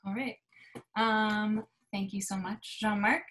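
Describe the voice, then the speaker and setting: A woman's voice: mid-range voice, fairly even intonation, slow pace, a bit bright, slight background noise. A young woman moderates an online artist panel, speaking casually with some ums as she hands over to the next speaker. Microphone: laptop video call.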